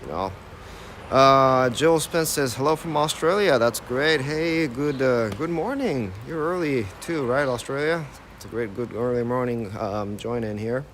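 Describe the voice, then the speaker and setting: A man's voice: mid-range voice, unhurried, fairly bright tone, slight background noise. A young man with an American accent, guiding a live-streamed walking tour in a casual, chatty way and reading viewer comments aloud outdoors in the early morning. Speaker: tour guide.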